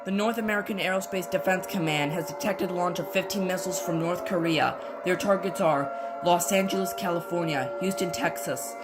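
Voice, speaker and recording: A woman reading a scripted emergency broadcast as an announcer, in formal, solemn, measured phrases. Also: lowish voice, over loud music. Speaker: emergency alert announcer and scenario narrator.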